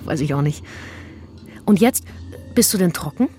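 A woman's voice: low voice, lively intonation, moderate pace, warm tone, faint music behind. An actress in a radio play, playing a solicitous, chatty woman who asks direct, prying questions. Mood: probing, frank. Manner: coaxing, inquisitive, direct. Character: solicitous, nosy, chatty female housemate.

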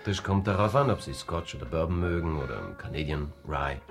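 An actor playing a courteous, reserved hotel bartender in a radio crime comedy, speaking with professional politeness. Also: male voice, low voice, a little fast, faint music behind, natural voice. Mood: discreet, courteous. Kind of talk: German radio drama acting.

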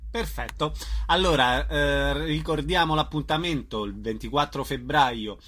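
A man's voice: fairly high pitch, a little slow, fairly bright tone. A man, interviewing a guest as a radio host in a conversational style.

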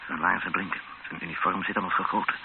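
A tense, sober male captive speaks low and earnestly, as the witness at the centre of a radio play. The sound is thin and tinny, like an old broadcast, with clear noise. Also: little pitch movement, fast talker. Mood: sober, tense recounting. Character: tense captive Dutchman, a witness recounting his ordeal. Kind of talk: first-person radio drama narration and dialogue.

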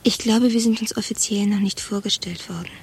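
An actress in a radio play playing a newly appointed woman director, composed, measured and coolly authoritative. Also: mid-range voice, steady tone, creaky, a little hiss or hum, natural voice. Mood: cool authority. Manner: measured, controlled, quietly commanding.

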